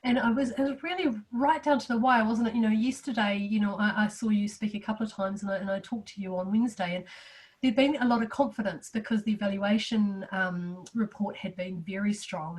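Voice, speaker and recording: Croaky woman hosting an interview. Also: mid-range voice, far from the mic, low-quality recording. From New Zealand. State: croaky from a flu. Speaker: interview host.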